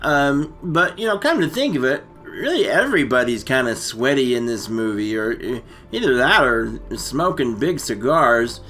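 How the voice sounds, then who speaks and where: A man co-hosting a casual comic movie recap, rambling and halting, full of slang. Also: mid-range voice, lively intonation, pushed and bright, soft background music. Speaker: comedy storytelling co-host.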